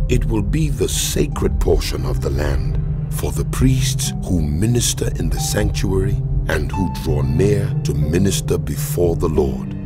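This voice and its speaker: A man giving a dramatized scripture reading as narrator, in a solemn, measured, authoritative manner. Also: low-pitched. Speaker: Bible narrator. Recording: loud music behind voice. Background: loud background music.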